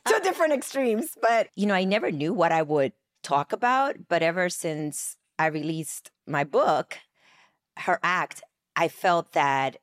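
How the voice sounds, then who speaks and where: A woman's voice: fairly low pitch, animated, a little slow. A woman co-hosting a podcast, chatting conversationally and affirming her guest. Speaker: podcast co-host. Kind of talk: casual podcast chat.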